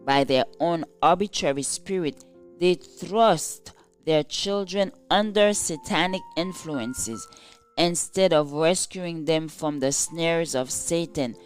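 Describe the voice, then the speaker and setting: A woman reading a scripted religious devotional in a measured, solemn and formal manner. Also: on the low side. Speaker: devotional reader. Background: soft instrumental music.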